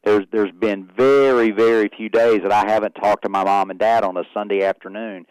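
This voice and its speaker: A man's voice: on the low side, fairly even intonation, a little fast, a little mellow, clear, resonant tone, no echo, poor audio. A man in his forties with a Southern accent from Oklahoma, a guest on a radio show, talking in a folksy way and often repeating words. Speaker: guest, athletics director. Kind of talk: radio interview.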